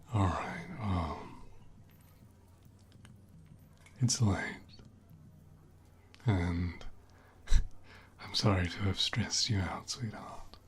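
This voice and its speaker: A man playing a flirty, protective assassin in an intimate ASMR roleplay, speaking gently and often dropping into a soothing whisper. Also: low-pitched, slight background noise. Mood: reassuring, tender.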